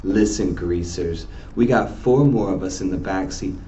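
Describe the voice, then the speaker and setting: A man's voice: low-pitched, moderate pace, mellow, lo-fi sound, slight background noise, close to an everyday voice. A man voicing an angry rich teenage boy from a novel, confrontational and condescending. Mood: threatening.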